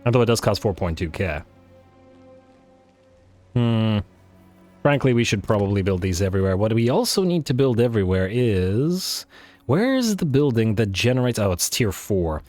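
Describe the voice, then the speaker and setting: Game commentator, male, lowish voice, hoarse from overuse, muses aloud with many fillers. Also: sing-song, normal speed, long pauses, a little mellow, faint music behind. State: losing his voice. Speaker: let's play host. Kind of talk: casual strategy game commentary.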